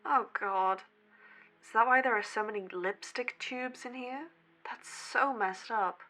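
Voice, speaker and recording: An actress playing a skeptical, sarcastic young college podcaster in a horror audio drama, dry and questioning. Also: female voice, a little high, measured pace, pushed and bright. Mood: skeptical, curious. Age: young adult.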